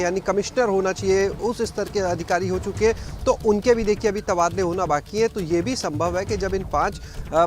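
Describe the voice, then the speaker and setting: High-voiced male news reporter. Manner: explanatory, conversational, hesitates with filler sounds. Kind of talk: live news report answering the anchor.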